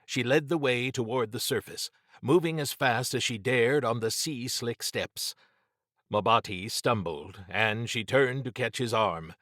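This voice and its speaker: Male horror audiobook narrator; medium pitch, measured, dramatic storytelling, very dry sound. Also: fairly bright tone, no echo. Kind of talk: scripted narration of horror fiction.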